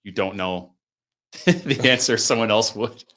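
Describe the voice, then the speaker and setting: A man from Canada's East Coast chatting as a guest on a podcast. His voice is a bit low, and he is friendly and quick to laugh. He often corrects himself mid-sentence. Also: sharp tone. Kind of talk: casual interview chat.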